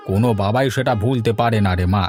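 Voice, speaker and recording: A man's voice: on the low side, brisk, darkish tone, faint music behind, close to an everyday voice. A man voicing a kindly elderly village father-in-law in a cartoon fairy tale, affectionate and given to homely moralizing. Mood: heartfelt.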